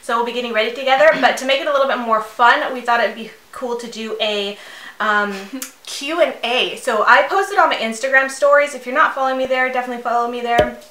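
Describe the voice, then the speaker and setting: A woman's voice: fairly high pitch, fast, pushed and bright, a little hiss or hum. A woman in her thirties chatting as a beauty vlogger while doing her makeup, giggly and rambling with fillers. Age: 30s. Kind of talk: chatty get-ready-with-me. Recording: echoey room.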